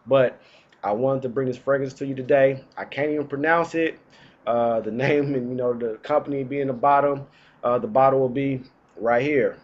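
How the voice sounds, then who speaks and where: A man's voice: mid-range voice, darkish tone, highs cut off. Laid-back man fighting off a cold. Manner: laid-back, chatty, slangy. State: getting over a cold. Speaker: fragrance reviewer. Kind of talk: casual vlog review. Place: indoors, small room.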